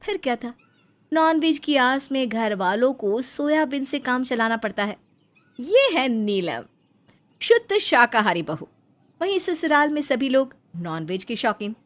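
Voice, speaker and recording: High-voiced Indian woman narrating a story. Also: very animated, a little slow. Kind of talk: scripted cartoon story narration.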